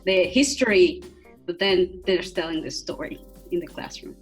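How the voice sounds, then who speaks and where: Lowish-voiced female interviewer, halting delivery. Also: slightly rough, faint music behind, low-quality recording. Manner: halting, searches for words. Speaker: interviewer, podcast host. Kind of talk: conversational interviewing.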